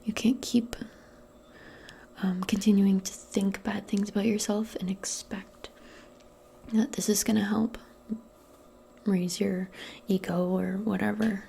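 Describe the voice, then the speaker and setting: Woman, ASMR roleplay, mostly whispered, crackly breathy voice, soft, even pitch, reassuring. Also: slow pace. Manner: gentle, reassuring, hesitant phrasing. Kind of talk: soft-spoken ASMR personal attention roleplay.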